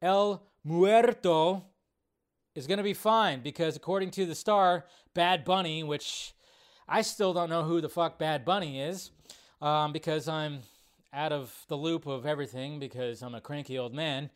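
A middle-aged American man hosting a live show, giving casual, rambling commentary in a blunt, sardonic, sweary way with drawn-out emphasis. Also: on the high side, smooth. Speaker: host of a live movie news show. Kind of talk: casual, rambling livestream commentary on entertainment news.

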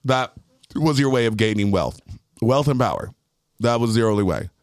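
A man's voice: mid-range voice, lively intonation, normal speed, no room sound, studio quality. A man co-hosting a casual podcast, rambling and swearing freely as he talks. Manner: rambling, swears a lot, laughs often. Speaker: podcast co-host.

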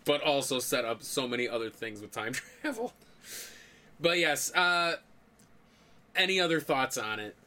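A man's voice: mid-range voice, lively intonation, measured pace, pauses often, sharp tone. A man co-hosting a podcast, chatting casually with a blunt, informal manner. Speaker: podcast co-host. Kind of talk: casual chat about comics.

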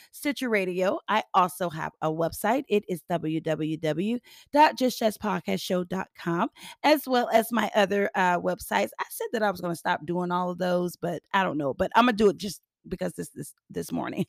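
A hoarse, raspy woman hosting a motivational podcast, repeating phrases for emphasis. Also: on the low side, no room sound, clean studio sound. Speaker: podcast host. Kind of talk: upbeat motivational podcast talk.

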